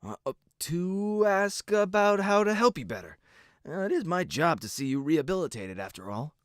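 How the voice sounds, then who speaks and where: Guy doing a young royal guard pony, earnest n kinda exasperated, pitch jumps around, dry close sound. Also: sing-song, normal speed, clear, resonant tone, no room sound. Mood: flustered. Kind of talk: fan audio drama dialogue.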